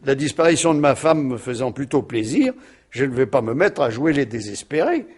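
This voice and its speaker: Wry, evasive husband in a French radio whodunit. Husky, animated, unruffled irony. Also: male voice, medium pitch, moderate pace. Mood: ironic, unbothered. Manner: ironic, nonchalant, evasive. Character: wry, unruffled husband suspected over his missing wife. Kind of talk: French radio mystery play acting.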